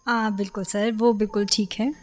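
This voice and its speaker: A woman's voice: medium pitch, level tone, brisk, close to an everyday voice. An actress playing a guarded married woman novelist and hurt former lover in a radio drama, in a conversational manner. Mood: polite.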